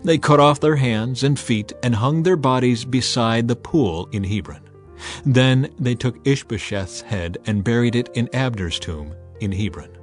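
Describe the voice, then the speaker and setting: A man narrating scripture as a dramatized audiobook, in a solemn, emphatic, clearly enunciated storytelling manner. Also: medium pitch, a little slow. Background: background music.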